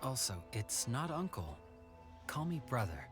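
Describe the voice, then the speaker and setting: A man's voice: medium pitch, sing-song, a little slow, over music. A man playing a downcast, reserved but kind big brother in a video game. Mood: quiet, tender.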